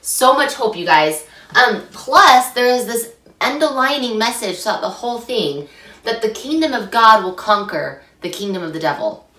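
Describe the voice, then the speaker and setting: A young woman with a slightly hoarse voice from a recent illness, teaching a chatty, animated scripture lesson to camera from a small room at home. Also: on the high side, normal speed. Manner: chatty and animated, gesturing a lot. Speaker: scripture study host. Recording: echoey room, mic at a distance.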